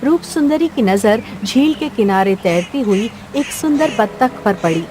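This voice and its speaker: A woman's voice: on the high side, expressive, measured pace, background noise. A woman reading scripted narration for a cartoon fairy tale as the storyteller. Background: music.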